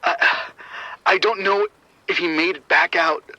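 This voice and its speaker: An actor playing a shaken security officer giving urgent, grim reports over radio in a horror audio drama. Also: male voice, on the high side, unhurried, bright, forceful tone, no echo. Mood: overwhelmed. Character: shaken male arena security officer reporting a violent outbreak. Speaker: security supervisor. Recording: muffled, band-limited radio transmission.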